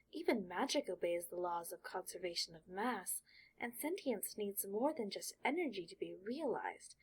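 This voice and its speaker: A woman narrating a fan fiction audiobook in an expressive storytelling manner. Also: mid-range voice, slightly rough, low-quality recording.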